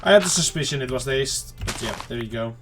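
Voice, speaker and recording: A man giving casual, rambling let's-play commentary to viewers, his throat slightly off and clearing it now and then. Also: medium pitch, expressive, fast talker, music in the background. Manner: rambling, chatty self-talk to viewers. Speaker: gaming channel host.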